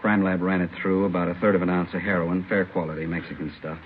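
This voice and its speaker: An actor playing a terse, businesslike American police detective in a 1950s radio drama, clipped and matter-of-fact. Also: male voice, sits low, flat delivery, fast, slight background noise, natural voice. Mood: businesslike, flat. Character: terse, businesslike male police detective narrating his case. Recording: tinny old radio broadcast, music behind.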